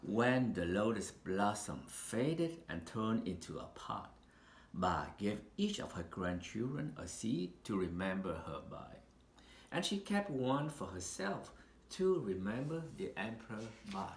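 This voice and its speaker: A man reads a picture book aloud in a roomy, echoing space with faint noise behind him. His delivery is very slow and deliberate, with dramatic pauses and lively rises and falls that carry the storytelling. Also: lowish voice. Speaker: storybook reader. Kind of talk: read-aloud children's picture book narration.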